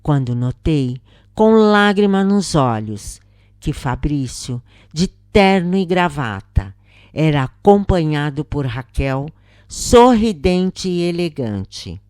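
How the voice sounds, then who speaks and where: A woman with a Brazilian accent reading a scripted first-person spiritual account in a solemn, measured manner, with deliberate pauses. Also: deep voice, very animated, darkish tone, dry recording, studio quality.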